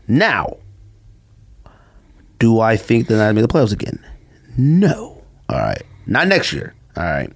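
An opinionated male co-host arguing about sports in casual talk. His medium-pitched voice is very animated in its rises and falls. He hammers points home by repeating short phrases. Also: breathy edge. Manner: opinionated, emphatic, repeats himself.

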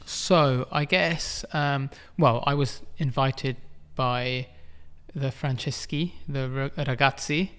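A middle-aged man from England, a guest artist in a casual interview, talking in a halting way with many restarts and frequent chuckles. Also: medium pitch, steady tone, a bit bright, clean tone.

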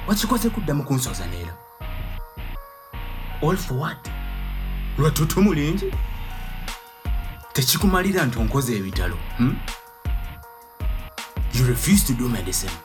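A man playing a stern, wounded pastor father in a radio play, indignantly reproaching his grown daughter. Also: medium pitch. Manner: reproachful rhetorical questions, indignant. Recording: guitar music behind the voice. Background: guitar music.

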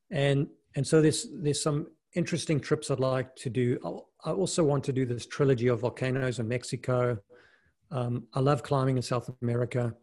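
Middle-aged man, grew up in South Africa, casual interview guest, relaxed, restarts his sentences. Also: medium pitch. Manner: relaxed, with frequent restarts and "you know". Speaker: guest, investment fund manager and mountaineer.

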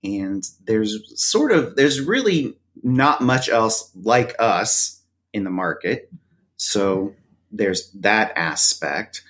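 A man's voice: fairly low pitch, expressive, slow, fairly bright tone. A man speaking as a podcast guest, explaining things at length in a wry, rambling way with many fillers. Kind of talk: casual interview chat.

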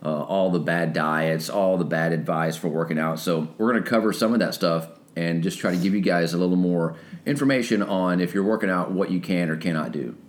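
A hoarse man, co-hosting a casual podcast chat in a relaxed, easygoing way. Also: low-pitched, a little fast, hardly any pauses. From North Texas. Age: 40s. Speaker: co-host.

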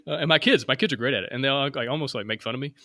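A Southern American man in his thirties hosts a loose, rambling chat, talking fast with a bright, forward tone. He laughs easily and circles back on his words. His voice sits dry and close to the microphone. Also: medium pitch, no echo. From Alabama. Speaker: podcast host. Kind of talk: casual interview chat.

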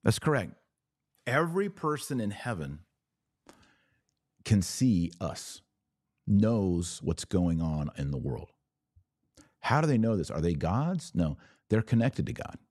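Deep-voiced American man teaching, close dry sound. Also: sing-song, takes it slowly, long pauses, a little mellow, dry recording. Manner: emphatic, explanatory, asking rhetorical questions and answering them. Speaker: podcast host answering viewer comments. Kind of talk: informal religious teaching.

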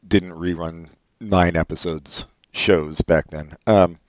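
A man with a very low voice chats casually about an old film, dry and offhand, letting his sentences trail away. The sound is dead and close, with a slightly dull, muffled edge. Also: fairly even intonation, takes it slowly, dry recording. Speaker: podcast co-host. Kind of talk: casual movie chat.

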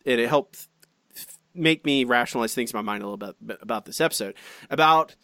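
A man's voice: medium pitch, quick pace, no room sound. A man in the middle of his voice range hosts a casual podcast, reading comments aloud and breaking off into asides and restarts. The sound is dry and close, with no room around it. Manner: chatty and animated, many restarts and asides. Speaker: podcast co-host.

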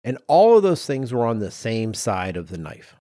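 A man's voice: medium pitch, big pitch swings, normal speed, mellow, no echo, studio quality. A middle-aged man co-hosting a podcast, telling researched stories informally with personal asides. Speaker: podcast co-host. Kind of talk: conversational storytelling from research.

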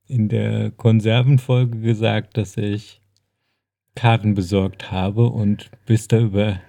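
A man's voice: on the low side, little pitch movement, takes it slowly, creaky, mellow, no echo. A man chatting casually as a podcast co-host.

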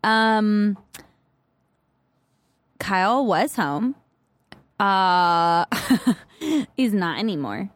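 A woman's voice: mid-range voice, extremely slow, pauses often, no echo. A young woman co-hosting a casual podcast, supportive and asking questions as she chats. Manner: lively, supportive, asks questions. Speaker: podcast co-host. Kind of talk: casual chat.

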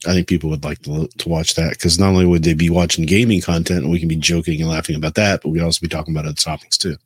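A man's voice: deep voice, flat delivery, rapid-fire, breathy edge, no echo. A man co-hosting a podcast, chatting casually and chipping in with teasing remarks. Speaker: podcast co-host. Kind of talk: casual group banter.

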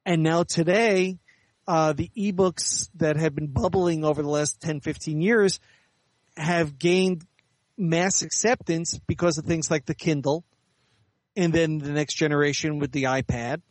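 A man giving measured, articulate, explanatory answers as an author and editor being interviewed in conversation. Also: on the high side, fairly even intonation, no room sound.

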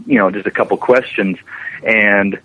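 A man's voice: lowish voice, steady tone, moderate pace, bright, forceful tone, low-quality recording. A man chatting casually as an interview guest. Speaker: interview guest, special effects animator. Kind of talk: casual chat.

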